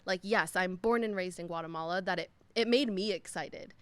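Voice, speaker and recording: A woman's voice: fairly low pitch, a little fast, a bit bright, smooth, dry recording. A young woman, a podcast guest, telling a personal story in a chatty way with lots of fillers. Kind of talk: casual storytelling.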